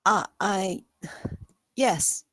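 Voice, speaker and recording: A timid young housemaid, acted by a woman at a table read. She speaks slowly and softly, hesitating before she answers. The sound is dry and close, and her manner is obedient and reserved. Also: mid-range voice, dry recording. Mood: nervous, submissive. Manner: hesitant, deferential, soft-spoken. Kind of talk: dramatic script table read.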